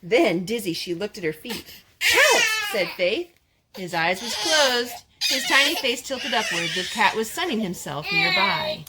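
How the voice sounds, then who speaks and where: A woman's voice: fairly high pitch, wildly swooping pitch, measured pace. A woman reading a children's storybook aloud expressively, with casual asides to children. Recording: clearly noisy home recording with clicks.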